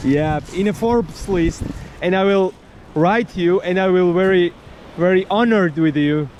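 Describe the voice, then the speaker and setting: A man's voice: high voice, a little slow. A young man with a Georgian accent, a travel vlogger chatting and laughing in broken English, then narrating. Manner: laughs often, animated, friendly banter in broken English. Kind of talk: casual chat and travel narration. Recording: loud sea and boat noise behind.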